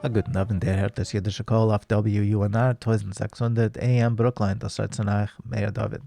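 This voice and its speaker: Man, radio host, formal announcing, dark mellow tone, gravelly, dry close sound. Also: fairly low pitch, little pitch movement, a little fast, faint music behind. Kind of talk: scripted show introduction.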